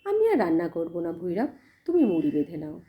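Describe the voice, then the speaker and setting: A woman voicing a gentle, determined, generous village woman in an audio story, kindly and resolute. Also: on the low side, huge pitch swings, brisk, lo-fi sound. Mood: eager, resolute.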